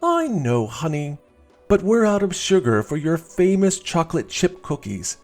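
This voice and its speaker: A man voicing a doting, warmly bossy mother dragon in a children's storybook, with sing-song coaxing. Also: a little high, very animated, a little slow, soft background music, pitched above the performer's own voice. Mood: fond, teasing.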